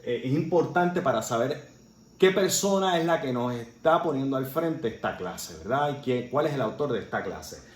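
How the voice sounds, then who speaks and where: A man's voice: medium pitch, expressive, moderate pace. A man teaching a Sunday Bible class in an earnest way, often checking in with his listeners. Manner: earnest, with frequent "¿verdad?" and "eh" fillers. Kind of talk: Sunday school Bible lesson. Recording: roomy echo, faint background noise.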